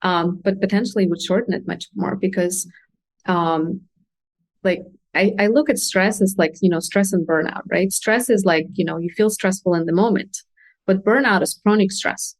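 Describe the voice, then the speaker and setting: A woman's voice: mid-range voice, moderate pace, no echo. Female coach as interview guest, mid-range voice, candid and earnest, recorded dry. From Russia. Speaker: podcast guest, consultant and coach. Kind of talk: conversational interview explanation.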